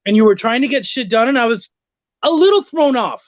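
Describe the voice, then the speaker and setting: A man telling anecdotes as a guest in a casual chat, animated and swearing often. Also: extremely high pitch, fairly quick, dull audio. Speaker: guest, freelance writer and editor.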